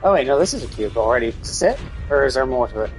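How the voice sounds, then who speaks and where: A man's voice: mid-range voice, expressive, very fast, rough recording. A man streaming a game, chatting casually and rambling as he thinks aloud. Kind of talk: casual live gameplay commentary. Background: game music in background.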